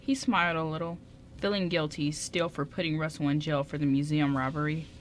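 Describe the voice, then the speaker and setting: A woman's voice: deep voice, fairly even intonation, fairly quick. A woman reading scripted story narration for a radio play, occasionally stumbling, on a noisy amateur recording.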